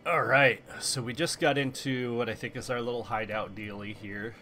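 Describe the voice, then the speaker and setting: Stuffy, slightly hoarse gaming host. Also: male voice, medium pitch, moderate pace, slight background noise. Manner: rambling, relaxed, many ums. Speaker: let's play host. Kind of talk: casual gameplay commentary. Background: faint game music.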